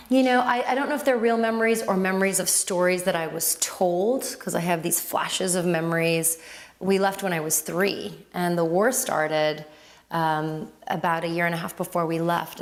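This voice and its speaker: A woman with a low voice and a light Lebanese accent, her first language Arabic. She talks as a guest in a relaxed interview, thinking aloud, circling back and restarting her sentences. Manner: reflective, with frequent fillers and restarts. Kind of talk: casual conversational interview.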